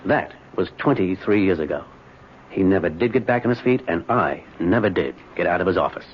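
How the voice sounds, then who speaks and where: A man's voice: deep voice, expressive, brisk. An actor playing a weary, evasive middle-aged businessman in an old-time radio drama, speaking in a hesitant, pleading way. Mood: weary, resolute. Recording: muffled, noisy vintage radio recording.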